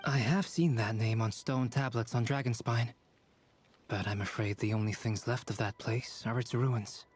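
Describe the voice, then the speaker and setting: A man's voice: mid-range voice, steady tone, moderate pace, darkish tone, lightly acted. Man as a composed scholar, reverberant voice. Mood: measured. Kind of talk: video game voice acting.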